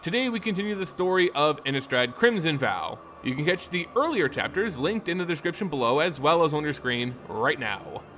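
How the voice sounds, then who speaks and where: A man narrating a scripted fantasy story in a theatrical, measured, dramatic way. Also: a little high, sing-song, sharp tone, slight background noise. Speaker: lore channel narrator. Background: background music.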